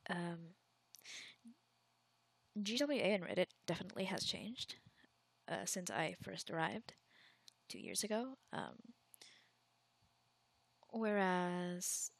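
A woman's voice: lowish voice, creaky, dry recording. A young woman from the Philippines chatting candidly in a casual Q&A, rambling with frequent fillers and restarts.

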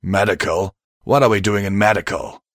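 A man's voice: deep voice, moderate pace, a bit bright, no room sound, clean studio sound. A man voicing a stubborn, desperate male unicorn pony, curt and confrontational, in a fantasy story. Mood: irritated.

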